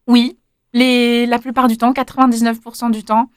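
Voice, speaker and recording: A woman's voice: on the high side, flat delivery, moderate pace, no room sound, studio quality. A young woman answering interview questions casually, hesitant, with many fillers and restarts. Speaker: interviewee, former volunteer.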